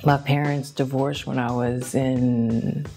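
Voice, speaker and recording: A deep-voiced woman from Long Beach talks about her family in a measured, deliberate way. She is a spoken word poet interviewed for a documentary. Soft music runs underneath. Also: steady tone. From Long Beach, California.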